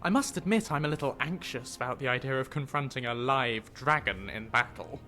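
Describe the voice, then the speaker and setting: An actor playing a polite, bookish, anxious adventuring companion in a fantasy video game. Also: male voice, medium pitch, animated, brisk, over music, natural voice. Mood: nervous, intrigued. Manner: polite, wordy, nervous.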